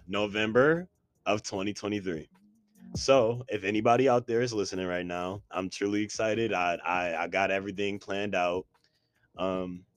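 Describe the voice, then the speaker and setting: A young man of about twenty co-hosting a podcast in a studio, talking casually and calling out to his listeners with excitement. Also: fairly low pitch, dry recording. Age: young adult, about 20. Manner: hyped, addresses listeners directly. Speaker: podcast co-host.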